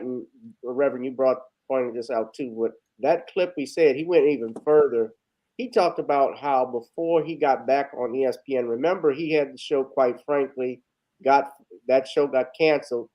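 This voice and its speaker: A man's voice: mid-range voice, normal speed, darkish tone, low-quality recording. A man hosting a casual panel talk show, moderating and adding his own commentary.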